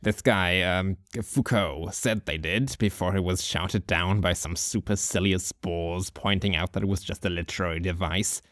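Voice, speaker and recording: A deep-voiced, rambling old drunk of a hobo sage, voiced by a man, holding forth in a slow, musing monologue. He chuckles dryly between his thoughts, and the sound is close and dead-dry. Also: steady tone, a bit bright, no room sound.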